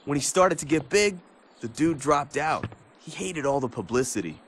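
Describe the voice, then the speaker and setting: A man's voice: a little high, expressive, moderate pace, background noise, close to an everyday voice. A man playing a cocky, territorial skater tough guy in a video game, with brash, slangy talk. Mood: laid-back. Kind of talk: video game cutscene acting.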